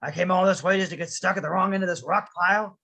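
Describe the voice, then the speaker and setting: A grumpy old widower from an animated film, played by a performer in a table read, speaks in a husky, forceful voice. He is curt and easily exasperated, always pushing others along. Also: medium pitch, quick pace. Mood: irritated.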